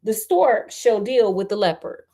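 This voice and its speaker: A woman voicing a proud, confident male ostrich giving deliberate battle orders in a storybook. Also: medium pitch, lively intonation, mellow. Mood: commanding.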